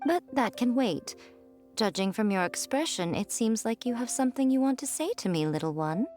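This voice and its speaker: A woman's voice: fairly high pitch, lively intonation, normal speed, darkish tone, faint music behind, close to an everyday voice. A woman voicing an elegant, sly priestess and editor in a video game, with a poised, teasing, theatrical manner. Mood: coy, composed.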